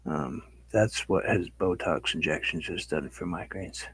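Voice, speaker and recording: A middle-aged man with a raspy voice, rambling casually to viewers as he paints on a live stream. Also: low-pitched, measured pace, fairly bright tone, slight background noise. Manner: rambling, talks to viewers while working. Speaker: painting livestream host. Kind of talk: casual chat while painting.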